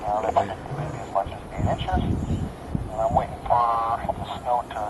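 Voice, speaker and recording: A middle-aged American man chatting casually as a ham radio operator over a radio link, halting as he searches for words. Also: mid-range voice, steady tone. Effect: two-way radio link. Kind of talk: casual radio chat with callsigns. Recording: dull radio link, loud engine-like background noise.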